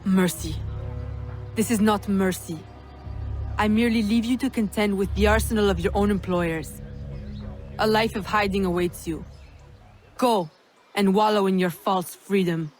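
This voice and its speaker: An actress voices a proud young female assassin from a video game. She speaks formally, with measured, unbending resolve, while music plays clearly behind her. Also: mid-range voice, steady tone, a little mellow, natural voice. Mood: stern, cold. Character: proud, defiant young woman assassin freeing slaves. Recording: music under the voice.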